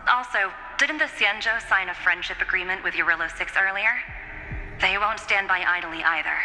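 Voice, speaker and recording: Game role: confident businesswoman envoy, sharp bright tone, matter-of-fact, echoey. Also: medium pitch, moderate pace, soft background music. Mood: assured. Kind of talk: video game voice acting.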